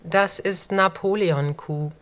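A woman records a brief test line at a slow pace. Her delivery is measured and deliberate, with a medium pitch. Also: fairly bright tone. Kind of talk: short recorded test phrase.

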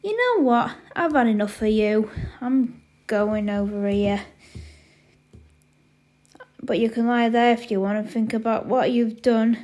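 A woman's voice: fairly high pitch, measured pace, pauses often, a little mellow. A woman playing a bossy big sister in a children's skit, stern and exasperated as she scolds. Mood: fed up.

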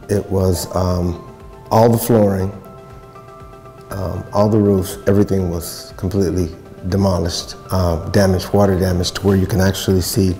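An older man, a building contractor, talking plainly and haltingly about a renovation, on a lapel mic. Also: low-pitched, dark tone. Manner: plain-spoken, halting, many fillers. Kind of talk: documentary interview. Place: renovated house, indoors.

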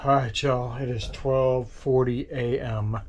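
A man vlogging casually from a cruise ship cabin, talking to his phone in a relaxed, unhurried way. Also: mid-range voice, roomy sound, far from the mic, very noisy. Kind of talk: casual vlog chat. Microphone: phone held at arm's length.

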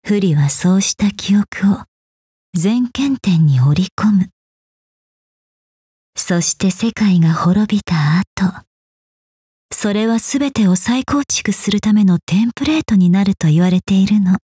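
A serene, mysterious fortune-teller from a video game. Her voice is very breathy and soft, with a dark, velvety tone. She speaks slowly and elegantly, very close and dry. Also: mid-range voice, no room sound. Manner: slow, poised, mysterious. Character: serene, enigmatic fortune-telling woman, elegant keeper of memories. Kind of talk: scripted game voice lines.

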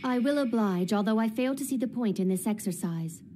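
A woman voicing a composed, proud, dutiful young female protector in an anime dub, formal and calm. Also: on the high side, background noise, close to an everyday voice. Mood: calm, confident. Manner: formal, calm, measured wording. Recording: music under the voice.

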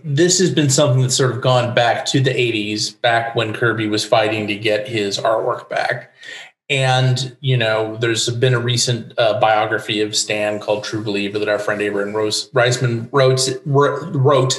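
A middle-aged man gives conversational explanations with a halting, restarting delivery. His medium-pitched voice sits in a noticeably echoey room. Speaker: guest, comics writer. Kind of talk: explanatory interview talk. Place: home room with bookshelves, indoors. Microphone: desk microphone on video call. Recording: echoey room over video call.

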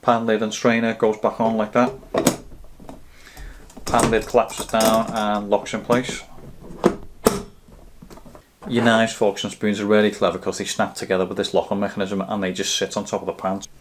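Low, even-voiced man. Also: moderate pace, pauses often, noisy background. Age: 30s to 40s. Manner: matter-of-fact, explanatory. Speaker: camping gear reviewer. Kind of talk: casual product review to camera.

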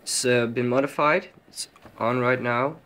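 A man's voice: mid-range voice, unhurried, fairly bright tone, smooth. A man giving an informal, hesitant walkthrough of a hobby electronics project, speaking from behind a handheld camera. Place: indoors at a desk with electronics.

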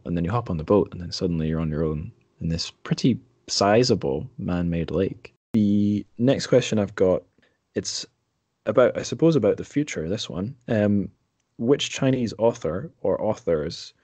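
A man's voice: sits low, darkish tone, husky, no echo. A man hosting a casual podcast interview. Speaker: podcast host.

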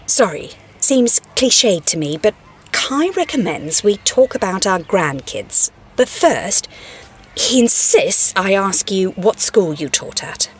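A prickly retired schoolteacher in an audio play. Her older woman's voice is husky and slow, swinging widely in pitch as she delivers dry, sardonic lines. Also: big pitch swings, exaggerated voice. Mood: wry, curious. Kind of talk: audio drama acting.

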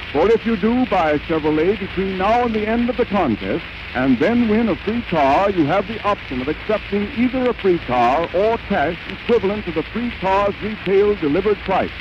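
A man announcing a scripted 1930s radio advertisement in a formal, carefully enunciated sales manner. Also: sits high. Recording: muffled, noisy 1930s radio recording.

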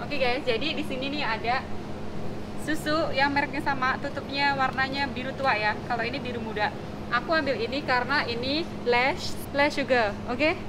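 A woman's voice: sits high, fairly even intonation, moderate pace. A woman vlogging casually to her viewers while shopping in a supermarket and market, chatty and upbeat, filmed on a handheld camera. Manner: chatty, upbeat, giggly, addresses viewers as guys. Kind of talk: casual shopping vlog chat. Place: supermarket and outdoor market stalls. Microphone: handheld wide-angle camera at arm's length. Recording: busy store noise behind. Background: shop and market bustle.

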